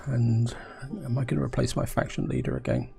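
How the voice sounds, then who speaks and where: A hoarse man with a cold, musing aloud as he plays a strategy game, often asking himself questions and speaking to his viewers. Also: mid-range voice, sing-song, a little fast, dark tone. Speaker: gameplay commentator.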